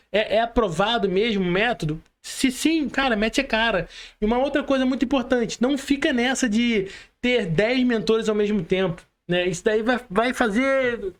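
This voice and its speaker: A Brazilian man hosting a casual podcast interview in informal, slangy Portuguese, often checking in with short tag questions. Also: very high-pitched. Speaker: podcast host.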